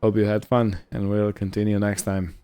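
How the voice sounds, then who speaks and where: A man hosting a roleplay gaming series, narrating his play in character in a casual, improvised way with halting short phrases. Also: fairly low pitch, little pitch movement, mellow, clear, resonant tone.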